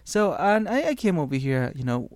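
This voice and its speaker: A man's voice: fairly high pitch, very animated, moderate pace, darkish tone. A man from Thailand who speaks English as a second language, telling his life story in an interview with frequent restarts and fillers. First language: Thai. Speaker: interview guest.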